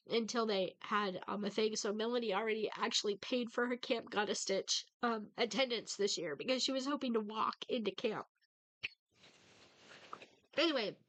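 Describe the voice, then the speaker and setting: A woman's voice: medium pitch, fairly bright tone, no room sound, rough recording. A woman vlogger chatting casually about stitching, stuffed up from a head cold and a bit tearful, rambling with fillers and restarts. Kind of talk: casual chat to viewers.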